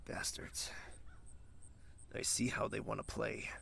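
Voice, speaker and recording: Man playing a brooding, slow-spoken, gravelly prince. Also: on the low side, little pitch movement, sharp tone, a little hiss or hum, full character voice. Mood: controlled. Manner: measured, terse. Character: brooding, commanding young prince, calm and guarded. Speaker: voice actor in audio drama. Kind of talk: dramatic audio drama acting.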